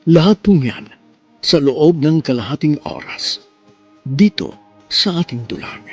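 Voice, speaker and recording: High-pitched male radio drama host. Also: lively intonation, a little slow, darkish tone, breathy edge, no echo, faint music behind. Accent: Filipino. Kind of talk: scripted, sentimental narration. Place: radio studio, music behind.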